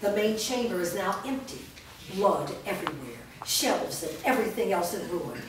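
A woman narrating stage directions at a staged script reading, in a measured, descriptive manner. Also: mid-range voice, animated. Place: large bare room with small audience, indoors. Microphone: distant camera microphone. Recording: echoey room, distant mic, faint noise.

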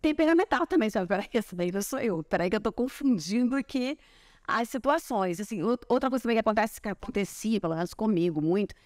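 Actress guest for a sit-down chat, forties, animated, gesturing, dry clip-on sound. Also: female voice, medium pitch, fast, no echo. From Brazil. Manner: chatty, animated storytelling with hand gestures. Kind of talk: relaxed interview chat. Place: large bright living room, seated on a sofa. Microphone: small clip-on mic on collar.

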